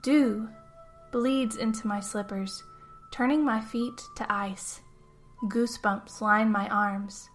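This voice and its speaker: A woman's voice: medium pitch, fairly even intonation, slow, soft background music. A woman narrating a scripted story in the first person as a podcast host and storyteller.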